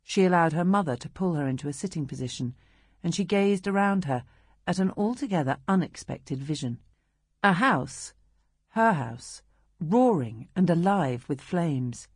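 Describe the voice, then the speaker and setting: A British woman reading literary narration as an audiobook narrator, in a measured, expressive storytelling manner. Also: fairly low pitch, husky, no room sound, clean studio sound.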